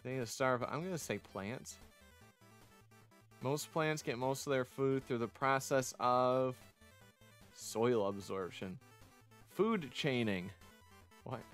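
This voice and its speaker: A man casually reading a visual novel aloud as he streams it, rambling off into chatty asides. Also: mid-range voice. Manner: rambling, chatty asides between read lines. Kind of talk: casual game playthrough commentary with read-aloud dialogue.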